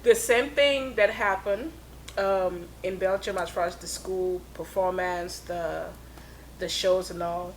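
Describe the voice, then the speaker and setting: A woman who is the guest in an interview, chatting casually about her life in music, laughing often and sending shout-outs to friends. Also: on the low side, steady tone, slow pace, slight background noise. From Europe.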